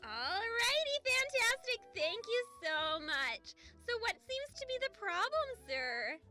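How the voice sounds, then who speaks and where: A woman's voice: extremely high pitch, sing-song, slow, sharp tone, soft background music, full character voice. A woman playing an overly polite tech support agent in a comedy sketch, with sing-song customer-service manners.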